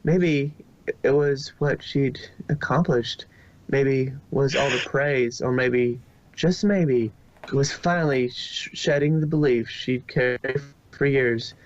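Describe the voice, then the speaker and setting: A man's voice: medium pitch, no echo. A man narrating a story from a script, now and then stumbling over a word and repeating it. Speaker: fan fiction reader and narrator. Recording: dull lo-fi home recording.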